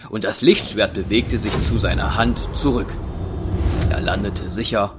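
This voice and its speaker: An actor as the sober narrator of an adventure audio drama, reading scripted narration. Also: male voice, lowish voice, measured pace, heavy background noise, close to an everyday voice. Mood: sober, suspenseful.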